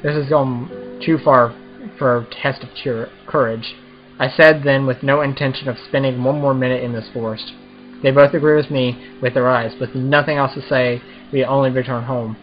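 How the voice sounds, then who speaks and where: A man's voice: medium pitch, steady tone, moderate pace, highs cut off, faint music behind. A man doing a casual let's play, reading visual novel text aloud and commenting as the host of a gaming video.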